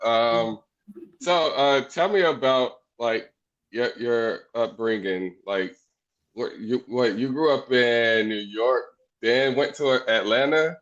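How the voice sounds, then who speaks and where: A man's voice: mid-range voice, slow, a bit bright. A man co-hosting a podcast, asking questions with many fillers and restarts. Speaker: podcast co-host. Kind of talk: casual interview. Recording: dull lo-fi call audio.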